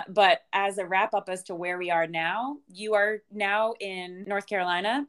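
A young woman co-hosting a casual podcast, her voice raspy and ragged from a hangover, rambling and laughing at herself. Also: fairly low pitch, fairly bright tone. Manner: rambling, laughing, self-mocking. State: hungover and tired. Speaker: podcast co-host, wedding singer. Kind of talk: casual chat.

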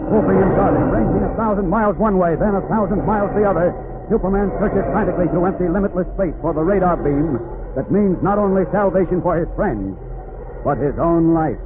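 A man as a suspenseful, grave narrator of a 1940s radio adventure serial, dramatic and measured. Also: high voice, natural voice. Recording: narrow-band vintage radio, faint noise.

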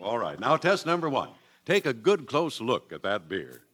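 A man's voice: medium pitch, sing-song, normal speed, fairly bright tone. An American man announcing a vintage radio commercial in a hearty, folksy salesman's manner.

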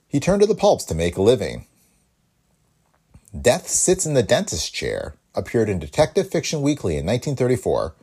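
Male podcast host, husky, very lively intonation, scripted read. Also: on the low side.

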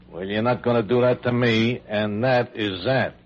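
Man acting a husky, cocky radio-drama crook. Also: mid-range voice, a little slow, natural voice. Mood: suspicious, cocky. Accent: American. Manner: slangy, sneering tough-guy talk. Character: cocky uneducated gunman, a defiant adult male crook. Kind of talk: 1940s-50s American radio drama acting. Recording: husky-sounding, dull old radio recording.

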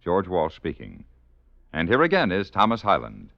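A man's voice: low voice, wildly swooping pitch, moderate pace, no room sound. A man announcing on 1950s radio in a polished, formal scripted style. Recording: dull vintage radio recording.

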